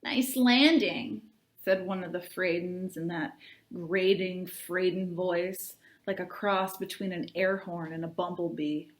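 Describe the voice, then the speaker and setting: A woman reading aloud to children as a librarian, in an expressive, deliberate storybook style. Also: on the low side. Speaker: youth services librarian reading aloud to tweens.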